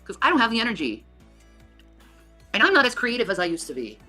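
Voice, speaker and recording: Thirty-something woman chatting on a stream, husky, bright, voice bouncing all over, rambles and swears, faint music under it. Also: on the high side, huge pitch swings, fast talker. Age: 30s. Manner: rambling, swearing, trailing off quietly. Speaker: livestream subject. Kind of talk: casual livestream chat.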